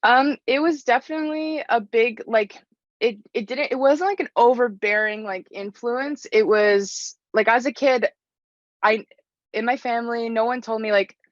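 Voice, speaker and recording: A woman's voice: fairly high pitch, moderate pace, no room sound, highs cut off. A young woman from Canada, talking casually about her trade in an interview, full of "like" fillers. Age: young adult. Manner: chatty, frequent "like" fillers. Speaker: interview guest, stone mason.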